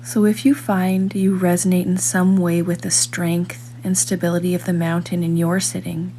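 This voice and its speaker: Low, mellow woman guiding a meditation. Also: a little hiss or hum. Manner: calm, measured, with long pauses. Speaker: meditation guide, reader. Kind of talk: guided meditation, scripted narration.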